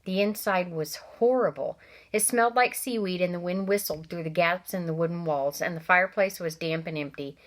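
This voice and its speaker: A woman, a teacher, reading a novel aloud to children, expressive and stopping for asides to her listeners. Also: fairly low pitch, normal speed.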